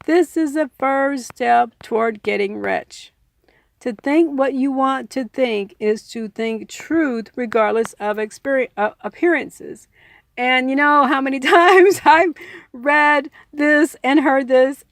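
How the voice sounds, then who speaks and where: Slow-paced woman reader, slightly high voice. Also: gravelly, fairly bright tone, no room sound, studio quality. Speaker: audiobook reader adding own commentary. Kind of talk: book narration with informal personal asides.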